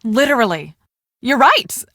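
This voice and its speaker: An actress playing a brisk, bossy young woman on a storm-chasing team in a video game, speaking emphatically and expressively. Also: fairly high pitch, big pitch swings, a bit bright, no echo, studio quality, heavily acted. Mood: friendly, businesslike. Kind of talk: scripted video game dialogue.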